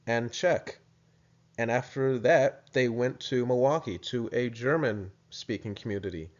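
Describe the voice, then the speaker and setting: A man's voice: mid-range voice, darkish tone, lo-fi sound. An American man presenting a video lesson in a casual, explanatory way, often restarting his sentences. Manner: thoughtful, with frequent restarts and fillers.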